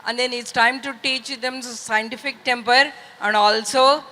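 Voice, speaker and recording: Bright-voiced Indian woman, halting, echoing hall. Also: fairly high pitch, fairly even intonation. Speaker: audience member asking a question. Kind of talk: live audience question, opinion. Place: large festival hall. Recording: reverberant hall sound system.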